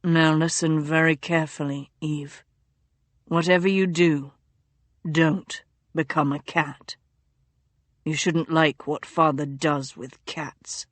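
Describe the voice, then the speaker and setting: A woman voicing a feverish, delirious young male duke who murmurs in a low hush. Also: steady tone, slow pace, no room sound. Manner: low hushed murmuring, drifting in and out.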